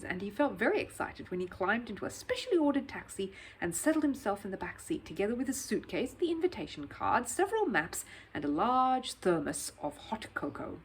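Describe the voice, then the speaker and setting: Female narrator for a children's book, lively and varied intonation, faintly noisy recording. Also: fairly high pitch, a little fast, few pauses. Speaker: storyteller reading a children's book. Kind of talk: expressive scripted narration.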